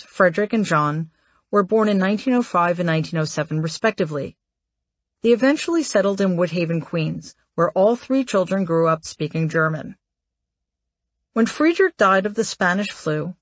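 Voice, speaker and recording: A woman reading a memoir aloud as an audiobook narrator, in a measured, articulate way. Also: lowish voice, no room sound.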